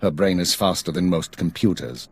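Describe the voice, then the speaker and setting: An actor playing a stern, weary intelligence chief in a video game, formal, clipped and measured. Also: male voice, low-pitched, a little mellow, breathy edge, no room sound. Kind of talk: video game cutscene acting.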